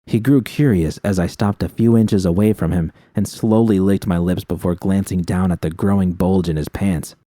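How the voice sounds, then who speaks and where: A man's voice: low voice, steady tone, moderate pace, few pauses, dark tone, no echo, studio quality. A man reading scripted first-person dramatic fiction as an audiobook narrator.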